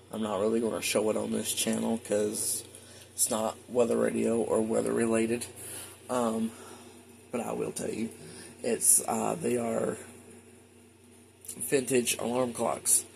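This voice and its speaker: A man's voice: medium pitch, creaky, darkish tone, slight background noise. A man giving a casual, rambling, matter-of-fact show-and-tell as a hobbyist collector at home. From United States. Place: indoors at home.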